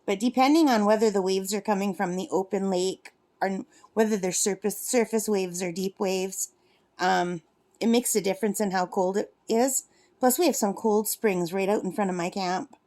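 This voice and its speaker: A woman's voice: medium pitch, moderate pace, darkish tone. A woman in her fifties chatting casually in a rambling, self-correcting way, vlogging from her lakeside cabin while she crafts. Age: 50s. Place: cabin at a lake.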